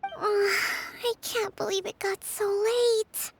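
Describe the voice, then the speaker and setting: Gentle game heroine leading her people; very high, soft, composed. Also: steady tone, slow pace, vocal fry, a little hiss or hum, exaggerated voice. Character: young woman, calm gentle island leader. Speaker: leader of an island.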